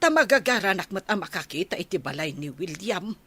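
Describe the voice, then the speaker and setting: A woman playing a chatty village woman in a comedy radio drama, conversational and expressive. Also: fairly low pitch, fairly quick, breathy edge, full character voice.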